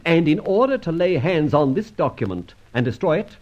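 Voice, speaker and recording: Radio serial: suave, mock-courteous masked rogue, hugely animated delivery, slightly husky, pompous and teasing. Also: male voice, fairly high pitch, normal speed. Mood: teasing, commanding. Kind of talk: old radio serial acting. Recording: dull old radio recording.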